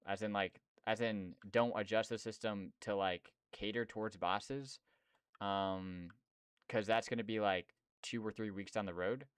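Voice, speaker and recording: A man's voice: lowish voice, a little slow, clear, resonant tone, dry recording, studio quality. A young man streaming and chatting casually about game development, slangy and rambling with lots of fillers. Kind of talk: casual chat.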